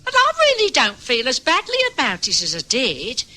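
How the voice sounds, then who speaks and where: An actress playing an anxious but practical, talkative housewife in an old radio drama. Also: female voice, a little high, huge pitch swings, moderate pace, bright, forceful tone, slight background noise, heavily acted. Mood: insistent.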